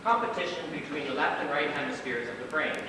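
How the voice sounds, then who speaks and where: A man's voice: on the high side, fast talker, close to an everyday voice. A man on stage playing an analytical police psychologist, lecturing with emphatic instruction. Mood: explanatory. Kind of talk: live amateur stage play acting. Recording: echoey hall from far off, noisy.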